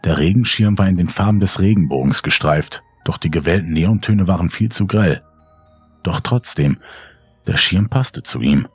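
A man's voice: low voice, normal speed, breathy edge, dry recording. A man reading a scripted horror story as a dramatic narrator. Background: music under the voice.

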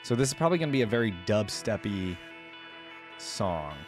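A man's voice: on the low side, big pitch swings, moderate pace. A man in his twenties chatting casually to viewers as a reaction host, in loose, slangy speech, close on a desk microphone. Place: small indoor home streaming setup. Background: electronic music.

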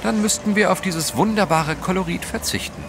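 An actor playing a cheerful, genteel gentleman adventurer in an audio drama, polished and jovial. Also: sits high, fairly quick, background noise. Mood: upbeat. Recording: clear outdoor ship ambience behind.

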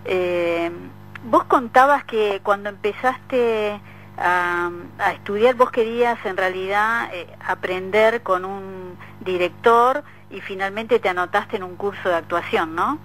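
A woman's voice: medium pitch, unhurried, fairly bright tone, a little hiss or hum, rough recording. A woman with a Rioplatense Argentine accent interviewing conversationally on the radio. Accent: Rioplatense Argentine Spanish.

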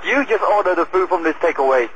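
A man handles a takeaway order by phone. His voice is fairly high. There is faint noise on the line behind him. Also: animated, quick pace, a bit bright. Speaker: takeaway worker taking orders. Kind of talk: business phone call. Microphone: telephone. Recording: phone call with background noise.

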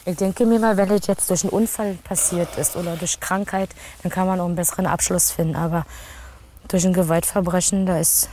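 Mellow woman, halting speech outdoors. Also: lowish voice, steady tone, slight background noise. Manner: halting, emotional. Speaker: victim's sister. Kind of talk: outdoor interview clip.

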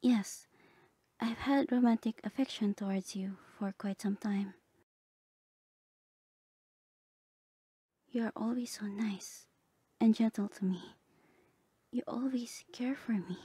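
A woman playing a gentle, lovestruck bard companion in a romantic ASMR roleplay, speaking softly and tenderly, often half whispering. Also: on the high side, unhurried, slightly rough, no room sound, clean studio sound. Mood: shy, nervous. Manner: tender, hesitant, polite.

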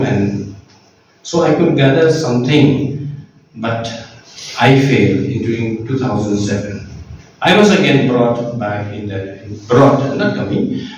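Slow, halting man in an echoey room. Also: mid-range voice, darkish tone, distant mic, slight background noise. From India. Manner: halting, hesitant, repeats words and restarts. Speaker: recovering addict giving testimony. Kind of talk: unscripted personal testimony.

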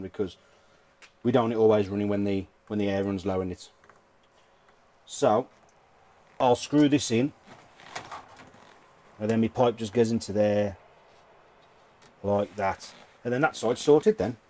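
A man's voice: lowish voice, normal speed, pauses often, darkish tone. A British man giving a casual hands-on how-to commentary, informally thinking aloud as he works. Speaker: DIY vehicle vlogger.

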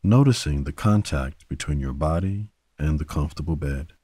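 A man guiding a sleep meditation in a gentle, soothing manner, leaving long pauses between short phrases. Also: very deep voice, no room sound, clean studio sound. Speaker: meditation guide. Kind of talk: guided sleep meditation narration.

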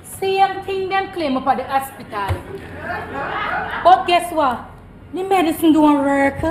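Casting: blunt, inquisitive young Jamaican maid, very high voice, echoey noisy theatre recording. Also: female voice, animated, takes it slowly, crowd noise. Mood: emphatic. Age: young adult. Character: nosy, superstitious, outspoken young Jamaican housemaid. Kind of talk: comedic stage play acting in patois. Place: theatre stage before an audience.

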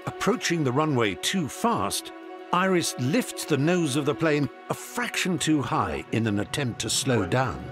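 A man's voice: mid-range voice, sing-song, measured pace. A man narrating a documentary in a scripted voice-over style. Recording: voice-over with music behind.

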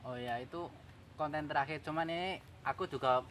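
A man's voice: fairly high pitch, fairly quick, a bit bright. Young man chatting outdoors, noisy audio. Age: young adult. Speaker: vlogger selling his camera gear. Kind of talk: casual chat. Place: outdoors on grass by a rice field.

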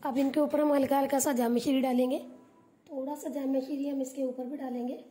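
A woman hosting a cooking channel, calmly narrating a recipe step by step as a voice-over. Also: high-pitched, brisk. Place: home kitchen.

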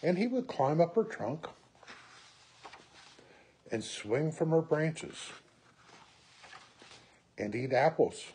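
Storyteller, male, 60s. Unhurried, deliberate read-aloud with a wide swing in pitch, some background noise. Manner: slow, deliberate, expressive phrasing. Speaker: storyteller reading a picture book. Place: small room at home, indoors.

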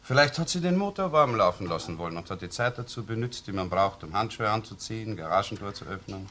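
Assured police physician for a radio crime play, very low voice, precise and clinical, slightly noisy vintage recording. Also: male voice, animated, quick pace, fairly bright tone. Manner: precise, explanatory. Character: assured, clinical male police doctor explaining his findings. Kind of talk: German-language crime radio drama acting.

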